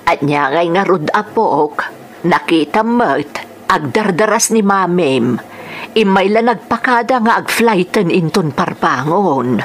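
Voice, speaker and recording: Slow, gentle Filipino grandmother played by a woman. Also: lowish voice, lively intonation, a little hiss or hum. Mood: tender. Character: gentle, caring grandmother talking to her grandchild. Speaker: radio drama actor. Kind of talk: Ilocano radio drama acting.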